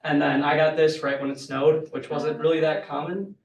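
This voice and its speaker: A man's voice: fairly high pitch, little pitch movement, moderate pace. A young man, a college senior, casually and upbeatly describing his photos, with many fillers. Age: young adult. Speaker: student speaker. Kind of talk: casual talk about photos. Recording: echoey room, distant mic.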